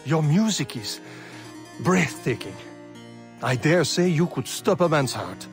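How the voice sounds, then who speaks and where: Game casting: courtly older father, gentle and formal, unhurried, music faintly behind. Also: fairly high pitch, sing-song, vocal fry, full character voice. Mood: fond, concerned. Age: middle-aged or older. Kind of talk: video game cutscene acting.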